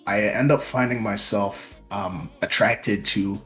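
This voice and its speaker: A man's voice: fairly low pitch, slow pace, a bit bright, faint music behind. A young man from Nigeria, a VTuber guest, talking casually and a little self-deprecatingly about himself in an interview.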